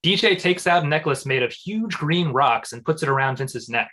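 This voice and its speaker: Bright, forceful stage directions reader. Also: medium pitch, expressive, moderate pace. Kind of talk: scripted narration at a live comedy table read.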